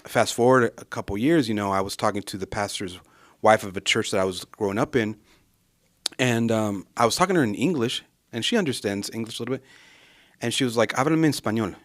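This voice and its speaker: Low, dry-voiced man telling his story. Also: no room sound. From Southern California. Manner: reflective, unhurried, searching for words. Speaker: guest, ministry association director. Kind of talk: casual conversation, personal story.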